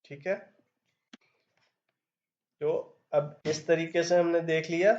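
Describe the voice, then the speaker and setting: A man's voice: a little high, gravelly, darkish tone, lo-fi sound. A man teaching programming step by step, reading code aloud as he types. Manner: explains step by step while typing, reads code aloud. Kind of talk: screen-recorded coding tutorial.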